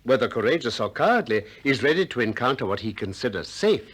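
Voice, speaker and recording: A courteous but relentless philosopher in a radio play. His pitch swings widely through measured, articulate questions. He presses each point with insistent care. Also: big pitch swings, fairly bright tone, breathy edge. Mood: probing, insistent. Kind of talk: 1960s radio drama acting of philosophical dialogue.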